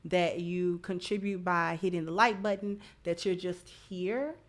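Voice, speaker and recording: A woman's voice: on the low side, fairly even intonation, a little slow. A woman hosting a livestream, chatting casually and giving commentary to her supporters. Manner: effusive in thanking supporters. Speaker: livestream host.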